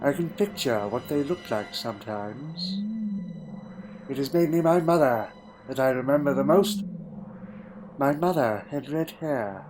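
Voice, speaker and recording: A man plays a wistful, lonely time traveller from another world in an audio drama. His voice sits a little high for a man and moves in short, halting, reflective phrases. Music plays clearly beneath it. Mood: wistful, searching. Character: wistful male alien time traveller recalling a lonely childhood. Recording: music under the voice.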